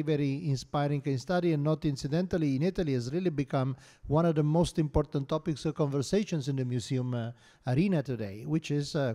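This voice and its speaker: A man's voice: a little high, mellow. A man moderating a conference panel, speaking fluently and reflectively. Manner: articulate, reflective. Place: theatre hall.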